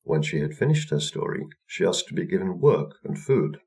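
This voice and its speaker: A man's voice: deep voice, big pitch swings, moderate pace, creaky, a little mellow. A man narrating a scripted folktale for children as a storyteller.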